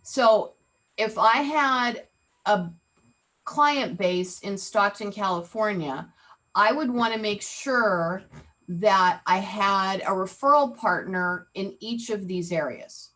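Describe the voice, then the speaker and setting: A woman's voice: mid-range voice, slow, a bit bright, poor audio. A woman leading an interactive online real estate training, chatty and teasing, often asking the group questions over a video call.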